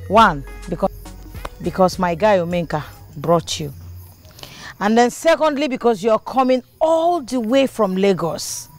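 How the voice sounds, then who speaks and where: A woman's voice: medium pitch, a little mellow, close to an everyday voice. An actress playing a proud, outspoken young woman mechanic, with a Nigerian accent and an assertive manner that slips into Pidgin. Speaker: actor in a film drama.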